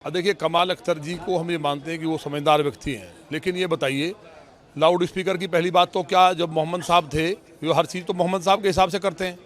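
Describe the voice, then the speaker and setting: A man's voice: a little high, steady tone, fairly quick. A man giving a combative political sound bite, using rhetorical questions. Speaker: politician giving a press reaction. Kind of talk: spoken sound bite to reporters.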